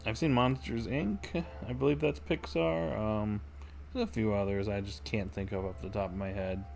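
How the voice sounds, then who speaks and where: A male game streamer chats casually and thinks aloud. His voice is fairly low with plenty of vocal fry, and game music plays faintly behind him. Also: steady tone, moderate pace, a little mellow. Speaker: livestream gamer. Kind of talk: casual chat with viewers during gameplay.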